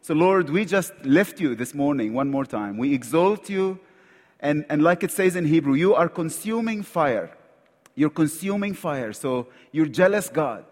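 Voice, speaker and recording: A man with an Egyptian accent preaches in a church sanctuary, his voice sitting fairly high for a man. He speaks earnestly, often repeating a word as he reaches for the next phrase. The sound rings out with the long reverb of a large hall. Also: animated, measured pace, darkish tone. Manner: earnest, repeats words while searching for phrasing. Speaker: visiting preacher. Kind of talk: sermon with prayer.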